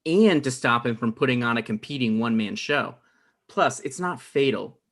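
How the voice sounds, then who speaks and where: A man's voice: medium pitch, lively intonation, normal speed, a bit bright. Defensive, petty struggling writer played by a man. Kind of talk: comedy script table read.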